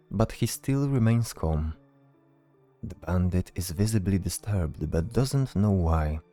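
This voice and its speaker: A man with a low, mellow voice narrates a scripted fantasy tale. His delivery is deliberate, laid out in short phrases. Faint music plays behind him. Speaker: narrator, storyteller.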